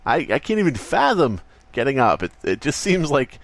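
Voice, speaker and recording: A man's voice: medium pitch, big pitch swings, moderate pace, gravelly, no echo. A man playing an over-eager, rambling salesman obsessed with his product in a spoof radio commercial. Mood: rambling, wistful.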